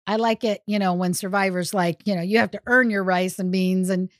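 A woman's voice: mid-range voice, fairly even intonation, fairly quick, no room sound, studio quality. A woman co-hosting a podcast, chatting casually about television shows. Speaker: podcast co-host. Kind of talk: casual TV recap chat.

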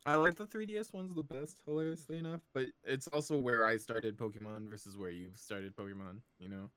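Late 20s guy, laid back and kinda hesitant, smooth voice, dry sound. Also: mid-range voice, a little fast, fairly bright tone, no room sound. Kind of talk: casual chat.

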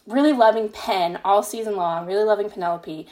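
A woman's voice: medium pitch, fairly quick, darkish tone, poor audio. A woman being interviewed as an author in a casual podcast chat, talking with gushing, emphatic enthusiasm. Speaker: interviewed fan fiction author.

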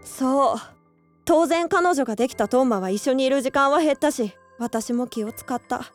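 A woman's voice: sits high, moderate pace, faint music behind, close to an everyday voice. An actress in a radio drama playing an earnest young woman who hides her love for a childhood friend. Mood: wistful. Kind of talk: Japanese radio drama acting.

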